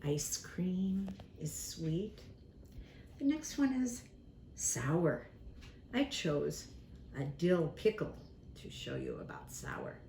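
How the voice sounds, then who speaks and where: Animated woman teaching young children, echoey room. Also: fairly low pitch. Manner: slow, deliberate, repeating key words; animated, acts out examples with props. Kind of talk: simple demonstrative lesson for young children. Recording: echoey room with faint background noise.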